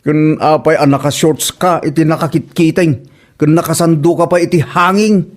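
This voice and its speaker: A man's voice: on the high side, steady tone, moderate pace, lightly acted. A man acting a fussy, grumbling husband and protective father in a comedy drama, with an Ilocano accent and exclamatory, repetitive interjections. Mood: scolding, worried. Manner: exclamatory, repeating short interjections.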